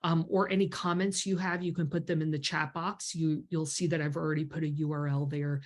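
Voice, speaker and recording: A woman's voice: low voice, level tone, fairly quick. A woman in her fifties with a stuffy, congested voice, hosting a members video call from her home office, explaining things in a chatty way with lots of ums. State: congested from a cold. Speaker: grant writing mentor hosting a members call. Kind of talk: casual webinar talk with many ums. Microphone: webcam on a video call.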